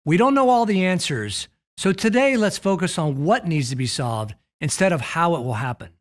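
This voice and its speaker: American man, animated keynote delivery. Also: fairly high pitch, normal speed. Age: middle-aged. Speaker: telecom executive giving a keynote. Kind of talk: scripted keynote presentation. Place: indoor studio with plain backdrop.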